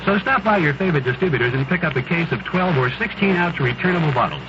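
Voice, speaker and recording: An American man reading a punchy 1970s radio beer commercial as a sales pitch. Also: expressive, fast talker. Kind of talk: 1970s radio advertisement read. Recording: noisy dull 1970s radio recording.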